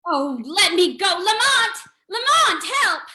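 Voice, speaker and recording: Proper, anxious radio-drama heroine , female actor: high-pitched, bright, theatrical delivery. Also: sing-song, slow, exaggerated voice. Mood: alarmed, frightened. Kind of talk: old-time radio drama reading.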